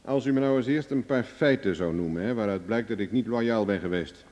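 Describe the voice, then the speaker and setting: An actor playing a cocky, cool-headed private investigator in a Dutch radio drama, glib, ironic and self-assured. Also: male voice, lowish voice, brisk, a little mellow, lo-fi sound, natural voice. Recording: reverberant old radio play recording.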